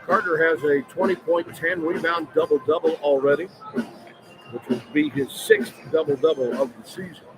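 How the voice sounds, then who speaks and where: A man's voice: a little high, very animated, moderate pace, music in the background. A man doing live radio play-by-play of a basketball game as the announcer. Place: courtside in a large indoor arena. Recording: noisy live arena broadcast.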